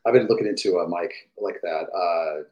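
A middle-aged man with a fairly deep voice, chatting enthusiastically as a podcast guest through a gaming headset, with fillers and restarts. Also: moderate pace, mellow, highs cut off. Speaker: guest, game industry executive. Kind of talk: casual podcast chat.

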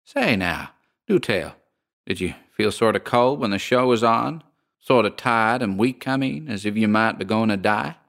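A man's voice: lowish voice, animated, creaky, fairly bright tone. Man putting on a drawling, unbothered landlady. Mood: cool, drawling. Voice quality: metallic voice. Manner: slow folksy drawl. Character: coolly unbothered American landlady of a haunted boarding house.

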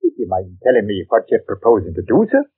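A husky Scottish man, practical, plain-spoken and wary, in a 1940s radio play. His pitch moves about in a lively way. The old recording is thin and tinny. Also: quick pace, heavily acted. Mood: wary, deferential. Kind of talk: 1940s radio drama acting.

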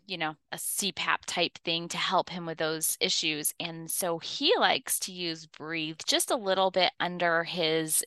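A woman's voice: on the low side, pushed and bright, smooth, no room sound. A woman co-hosting a podcast, chatting in a bubbly, giggly way and closing the show. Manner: giggly, bubbly, lots of um fillers. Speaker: podcast co-host. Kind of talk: casual podcast chat.

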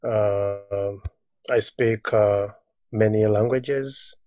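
A young man from Uganda, a podcast guest speaking casually and hesitantly, pausing often. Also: on the low side, fairly even intonation, a little mellow. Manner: hesitant, many pauses and "uh"s. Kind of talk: casual conversation.

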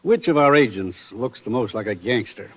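An actor playing an earnest, anxious middle-aged government commissioner in old-time radio drama style. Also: male voice, fairly low pitch, animated, moderate pace, muffled recording. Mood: decisive. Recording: vintage radio drama recording.

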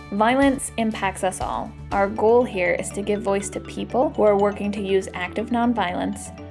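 A woman reading a scripted podcast introduction as its announcer. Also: medium pitch. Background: music.

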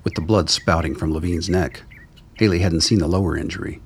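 A man's voice: low-pitched, fairly even intonation, a little fast, husky. A man reading scripted dramatic narration for a radio play.

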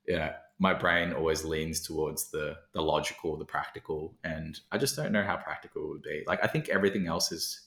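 A man's voice: a bass voice, fairly quick, gravelly, hardly any pauses, a bit bright. A man in casual conversation as a podcast co-host, reflective and thinking aloud with fillers. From Australia. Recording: roomy echo.

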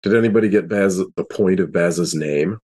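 A man talking casually as a podcast co-host and game master, in a halting, self-interrupting way. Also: low-pitched, warm tone, husky. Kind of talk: casual panel chat.

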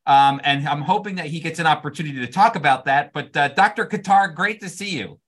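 Male interview host, a bit high-pitched, friendly, halting with fillers. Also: a bit bright. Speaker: podcast host. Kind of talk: interview conversation.

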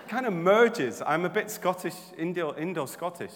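Animated preacher in a reverberant hall. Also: male voice, high voice, normal speed. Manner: animated, humorous, shifts from quiet reflection to loud exhortation. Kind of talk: sermon.

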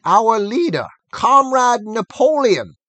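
A man voicing Squealer, a persuasive, excitable male pig who talks fast and insistently. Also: sits high, no room sound, voice pushed up. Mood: solemn. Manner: smooth, insistent, wheedling.

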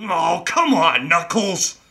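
A woman's voice: fairly low pitch, sing-song, slow pace, creaky, loud music behind the voice, exaggerated voice. A woman voicing an impatient, plucky cartoon adventurer with emphatic delivery in an amateur radio play.

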